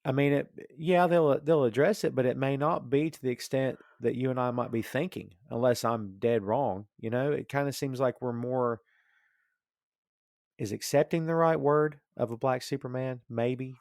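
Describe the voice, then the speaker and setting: A man's voice: mid-range voice, moderate pace, darkish tone, dry recording. Man chatting casually on a dry recording. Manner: rambling, thinking aloud. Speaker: podcast host discussing comics and film. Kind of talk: casual opinionated chat.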